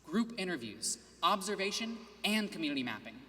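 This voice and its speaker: A man giving a rehearsed student team presentation in a steady, scripted way. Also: sits high, a little fast, big-room sound.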